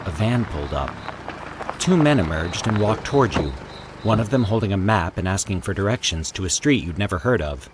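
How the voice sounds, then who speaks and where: A man narrating a scripted horror story in a formal, deliberate, theatrical storytelling manner. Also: deep voice, expressive, noisy background. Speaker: host and narrator of a fiction podcast.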